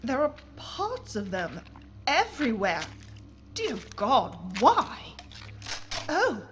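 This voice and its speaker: A woman's voice: high voice, wildly swooping pitch, slow, dull audio, background noise, full character voice. An actress playing a spirited aristocratic lady in a wartime audio drama, with a proper, dramatic delivery. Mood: horrified.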